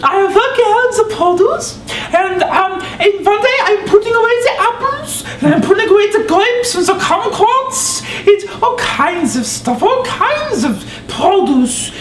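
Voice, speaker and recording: A woman's voice: very high-pitched, expressive, unhurried, few pauses. A woman playing a doting old immigrant mother who speaks broken English and tells a rambling story. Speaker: comedic storyteller. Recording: echoey room with background noise.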